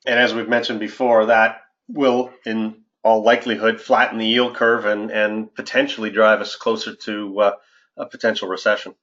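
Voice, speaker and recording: A man, an investment adviser hosting a webinar and presenting market commentary in a formal, measured way. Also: on the low side, level tone, a bit bright. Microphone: conference call line. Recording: dull, lo-fi conference-call audio.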